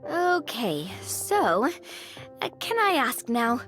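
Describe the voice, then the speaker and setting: Bright, bubbly young adventurer girl. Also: on the high side, sing-song, slow pace, faint music behind, full character voice. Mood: curious. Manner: casual, teasing. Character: bubbly, curious, candid young female adventurer. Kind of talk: video game voice acting.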